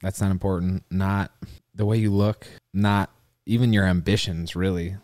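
A man's voice: low voice, steady tone, moderate pace, darkish tone, no echo, clean studio sound. A man co-hosting a podcast, asking questions in a searching, stammering way with many restarts. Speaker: podcast co-host. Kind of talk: conversational interviewing.

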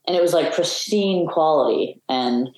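A woman's voice: low-pitched, animated, moderate pace, a little mellow, echoey room, rough recording. A young woman, a guest in an interview, telling her story in an open, reflective way. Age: young adult. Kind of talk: casual interview chat.

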